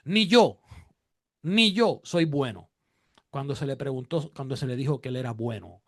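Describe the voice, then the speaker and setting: A man hosting a conversational religious interview, speaking haltingly and restating his phrases and questions as he goes. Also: mid-range voice, no room sound, studio quality. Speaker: interview host.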